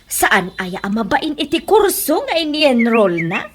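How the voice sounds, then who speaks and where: Woman playing a gossipy, sneering mother, high-voiced. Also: sing-song, a little hiss or hum. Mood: mocking. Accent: Filipino (Ilocano). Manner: sneering, drawn-out, exclamatory. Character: gossipy, scornful middle-aged mother, proud of her daughter. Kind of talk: Ilocano comedy radio drama acting.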